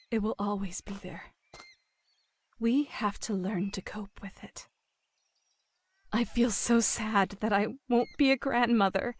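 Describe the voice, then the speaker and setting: An actress playing a dying middle-aged mother in a game drama, speaking gently and consolingly with deep tenderness. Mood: loving, proud. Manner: gentle, slow, soothing. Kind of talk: emotional dramatic game voice acting.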